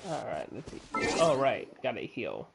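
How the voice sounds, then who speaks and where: A game streamer chatting casually while playing, relaxed and thinking aloud, with game music behind. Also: medium pitch, slow, vocal fry, very noisy. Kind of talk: casual live gameplay commentary.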